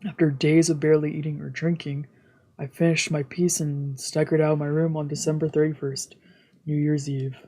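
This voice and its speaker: A woman streamer with a soft-spoken, subdued manner, narrating a visual novel aloud during a let's play. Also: low-pitched, level tone, moderate pace. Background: game music.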